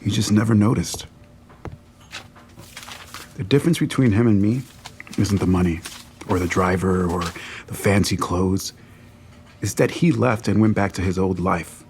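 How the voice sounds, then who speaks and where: A man's voice: sits low, unhurried, long pauses, a little mellow, a little hiss or hum. An actor playing an earnest, lovesick young man confessing to a childhood friend. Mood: pleading. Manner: earnest, tender. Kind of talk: audio drama acting.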